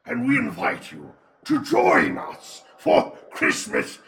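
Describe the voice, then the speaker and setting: A horrid ghoul chieftain in a horror-comedy audio story. The voice is a twisted, heavily gravelled snarl that swoops wildly between low and high. He speaks slowly and grandly, relishing the morbid. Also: wildly swooping pitch, fairly bright tone, heavily acted. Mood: gleefully grandiose. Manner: slow, grandiose, drawn-out delivery.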